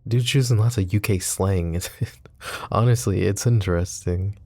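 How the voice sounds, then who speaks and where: A man acting a composed, wry, protective mafia boss in an intimate roleplay audio drama, speaking in a measured, conversational way. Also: on the low side, darkish tone, no room sound, close to an everyday voice. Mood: wryly amused. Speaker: voice actor.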